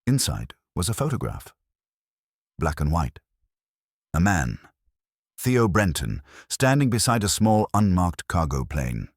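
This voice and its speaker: Male audiobook narrator for vintage whodunit: bottom-register voice, animated intonation, dry sound. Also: low voice, unhurried, pauses often, dry recording. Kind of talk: scripted narration of a vintage detective story.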